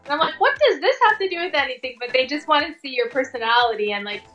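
A woman's voice: high voice, animated, fast, a bit bright, highs cut off, soft background music. A woman chatting casually as an interview guest, informal and sprinkled with "like" and "you know". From Tongan American. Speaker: interview guest, working fashion and commercial model.

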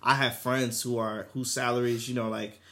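A man in his twenties sharing personal experience in a casual, halting, slangy conversational way. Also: medium pitch, little pitch movement, fairly bright tone. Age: 20s. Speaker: podcast panelist. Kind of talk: casual chat, personal anecdote.

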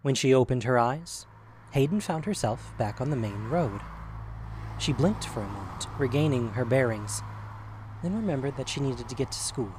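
A man's voice: medium pitch, lively intonation, moderate pace, a little mellow, a little hiss or hum. A man narrating a scripted audio drama as a storyteller.

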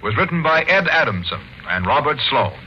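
A man's voice: medium pitch, very animated, brisk. A man announcing a radio mystery and its cast in scripted 1940s style. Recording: dull old radio, very noisy.

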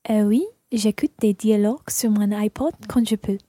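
Woman playing a careful, mellow-voiced female learner. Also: medium pitch, steady tone, moderate pace, dry recording, studio quality, natural voice. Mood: earnest, careful. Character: friendly woman language learner chatting at dinner. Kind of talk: scripted language-course radio play dialogue.

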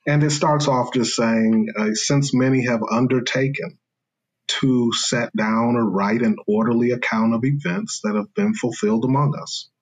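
A man's voice: medium pitch, normal speed, slightly rough, low-quality recording. A man speaking as a scholar guest in an interview, explaining carefully in a lecturing way with fillers and restarts.

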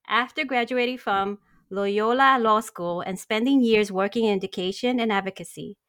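A woman who co-hosts a podcast, reading a scripted guest introduction in a smooth, upbeat way. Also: medium pitch, a bit bright, no room sound, studio quality. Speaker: podcast co-host.

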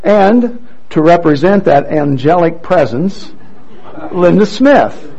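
A man introducing scripture readers at a church program, speaking in a relaxed way with light asides, on a muffled recording. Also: on the high side, slow pace, some chatter behind. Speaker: worship leader introducing readers. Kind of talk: church service emcee.

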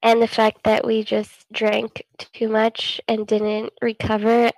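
Higher-voiced American woman, dry close sound. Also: completely flat delivery, slow, darkish tone, no echo, rough recording. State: getting over a cold. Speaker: podcast co-host. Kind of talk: casual storytelling chat.

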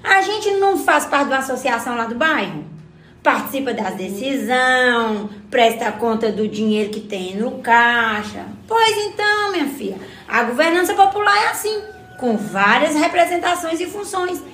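A woman's voice: high-pitched, animated, normal speed, fairly bright tone, roomy sound. A woman playing a spirited, wise older grandmother with a Minas Gerais Brazilian accent, explaining things in a folksy, motherly way in a radio drama. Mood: patiently explaining. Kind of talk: scripted community radio drama.

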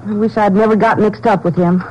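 An actress playing a weary, nervous nightclub performer in a 1950s radio crime drama, plain-spoken and slangy. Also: female voice, medium pitch, steady tone, fairly quick, a little mellow, natural voice. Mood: anxious. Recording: dull, faintly noisy old radio recording.

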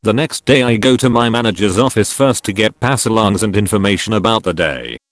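Low-voiced man narrating stories. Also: brisk, no room sound, clean studio sound. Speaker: narrator reading online posts. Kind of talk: scripted narration.

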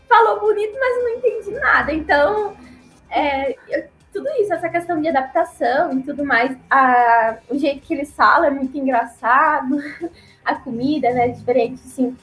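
High-voiced woman, chatty. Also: lively intonation, moderate pace, reverberant, faint music behind. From Paraná, southern Brazil. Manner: chatty, laughs often, acts out quoted locals in a higher voice. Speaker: guest, former missionary. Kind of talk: casual conversational storytelling.

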